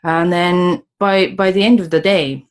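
A woman's voice: lowish voice, fairly even intonation, measured pace. A woman who speaks natively, co-hosting and interpreting, chatting in a friendly way with many short replies. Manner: friendly, backchannels a lot. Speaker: podcast co-host and interpreter. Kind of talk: casual chat.

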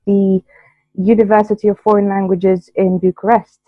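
A woman's voice: mid-range voice, little pitch movement, dry recording. Woman on a tinny line. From Romania. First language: Romanian. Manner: measured and articulate. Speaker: interview guest, interpreter. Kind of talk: casual interview chat.

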